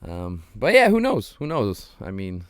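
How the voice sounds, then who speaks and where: Laid-back low-voiced man rambling casually. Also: wildly swooping pitch, measured pace, clean tone, dry recording, clean studio sound. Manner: laid-back, rambling, lots of ums and slang. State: slightly hoarse. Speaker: podcast host. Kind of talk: casual solo livestream chat.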